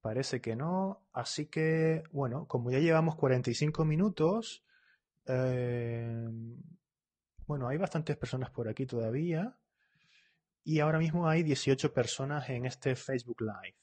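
A man leads a live online language lesson, reading viewers' comments aloud and correcting them as they come in. His voice is husky and sits in a middle pitch. He talks fast and keeps checking in with short tags like "¿vale?". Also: a little mellow. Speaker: Spanish teacher.

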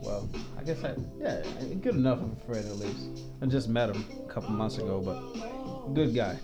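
A man's voice: lowish voice, lively intonation, normal speed, loud music behind the voice. A young man hosting a casual podcast chat, loose and laughing, often stumbling and restarting his sentences. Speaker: podcast host.

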